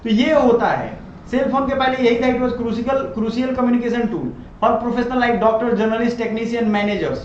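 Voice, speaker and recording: A high-pitched male teacher explains things in a conversational lecture style, often slipping into English. His voice rings in an echoey room, and he keeps checking that listeners follow. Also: slow. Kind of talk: classroom lecture explaining current affairs. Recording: echoey room, muffled, loud background noise.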